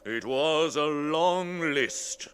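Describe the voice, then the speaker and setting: Ancient tree creature, super slow n ponderous, hums and muses, forceful bright edge, radio drama. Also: male voice, on the high side, clear, resonant tone. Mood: chanting, reciting. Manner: ponderous, deliberate, humming and musing.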